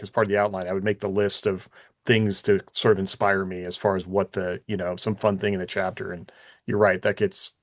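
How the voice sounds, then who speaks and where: A man's voice: on the low side, dry recording, clean studio sound. A man co-hosting a writers' podcast, chatting casually in a conversational style. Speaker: podcast co-host and novelist.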